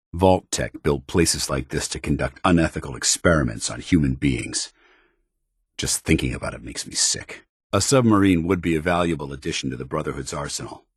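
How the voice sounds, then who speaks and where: A stern paladin commander from a video game, with a very low, husky voice. He speaks in formal, clipped military phrases and carries himself as a duty-bound officer. His pitch moves with animated force. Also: no echo, studio quality, heavily acted. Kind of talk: video game companion dialogue.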